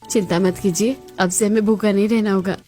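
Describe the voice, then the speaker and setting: A woman's voice: medium pitch, steady tone, fairly quick, mellow, soft background music, close to an everyday voice. A woman playing a capable, long-suffering young daughter-in-law in a cartoon story. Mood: confident, reassuring.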